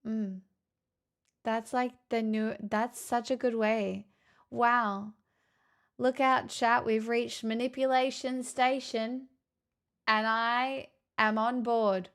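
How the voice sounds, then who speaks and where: A woman's voice: fairly high pitch, steady tone, takes it slowly, fairly bright tone, smooth. Playful, teasing young woman streamer. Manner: playful, teasing, self-mocking. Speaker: livestreamer. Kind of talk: casual stream chat with viewers.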